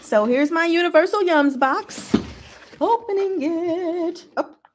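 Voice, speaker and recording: A woman's voice: extremely high pitch, takes it slowly, a little hiss or hum. A woman hosting a casual livestream, chatting with viewers in short, giggly asides. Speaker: livestream host.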